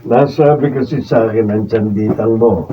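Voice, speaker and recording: A man's voice: fairly low pitch, moderate pace, lightly acted. A man acting an indulgent, coaxing married philanderer in a radio drama. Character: lecherous married man coaxing a girl with gifts. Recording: distant muffled mics, noisy raw studio video.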